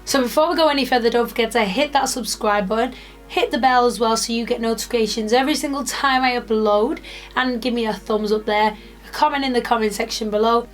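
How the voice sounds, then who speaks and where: A woman's voice: a little high, fairly quick, faint music behind. Chatty British woman vlogging. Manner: chatty, rambling, lots of fillers. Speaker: YouTuber. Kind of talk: casual vlog chat to camera.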